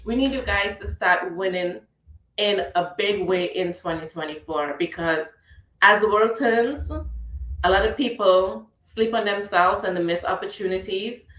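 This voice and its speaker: A chatty, playful woman with a Trinidadian accent hosts a casual radio talk show, her voice sitting at an ordinary middle pitch. The sound is roomy, as if she is talking over an online call from a reverberant room. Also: unhurried, fairly bright tone. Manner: chatty, playful, laughs easily.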